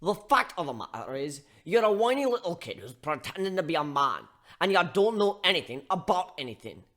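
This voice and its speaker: A man voicing a gruff, scolding barkeep who gives blunt tough-love lectures in folksy slang in a dramatic story reading. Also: fairly high pitch, very animated, fairly quick, a bit bright, pitched above the performer's own voice. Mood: angry, scolding.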